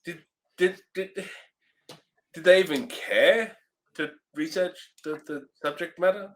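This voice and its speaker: A man co-hosting a casual film review, speaking in a halting, incredulous manner. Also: a little high, a little hiss or hum. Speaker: podcast co-host reviewing films.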